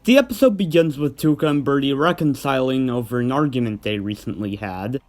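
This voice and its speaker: A man's voice: mid-range voice, a little fast. A man hosting a video countdown, giving scripted review commentary in an informal, earnest way and stressing key words. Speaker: host of a video list of cartoon episodes.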